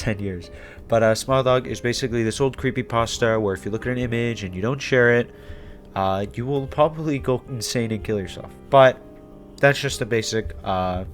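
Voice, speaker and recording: A man hosting a YouTube explainer in a casual, irreverent, conversational way. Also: mid-range voice. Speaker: YouTube host. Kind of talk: casual horror series explainer.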